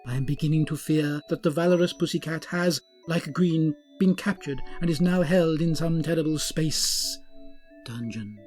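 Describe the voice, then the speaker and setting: A man's voice: on the high side, normal speed, a little mellow, faint music behind, lightly acted. A man playing a pompous starship captain. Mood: grandiose. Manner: pompous, grandiose. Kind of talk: comic audio drama.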